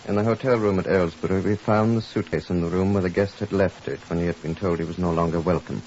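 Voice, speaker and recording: An actor playing a stern, impatient police superintendent with a curt, commanding manner in a 1950s radio drama, also narrating. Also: male voice, low voice, steady tone, quick pace, a little mellow. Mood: measured narration. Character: stern, impatient male Scotland Yard superintendent. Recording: muffled, hissy 1950s radio recording.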